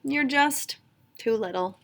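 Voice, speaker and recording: A woman's voice: high-pitched, lively intonation, slow, creaky, fairly bright tone, a little hiss or hum, full character voice. A woman voicing a kindly leprechaun uncle in a children's storybook, speaking emphatically and apologetically. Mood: apologetic. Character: kindly adult leprechaun uncle, gold carrier.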